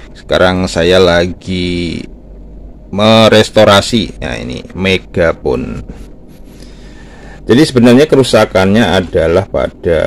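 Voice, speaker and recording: A man hosting his own channel, talking casually and loosely while he shows a repair step by step. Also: sits low. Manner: relaxed, chatty, with many fillers like "nah" and "nih". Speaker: YouTube channel host. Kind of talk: casual hands-on repair demonstration.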